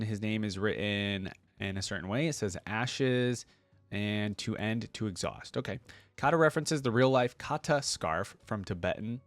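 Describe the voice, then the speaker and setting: A man's voice: fairly low pitch, no room sound. A man hosting a reaction video, reading aloud and breaking off into casual asides and musings. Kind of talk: reading wiki text aloud with casual commentary.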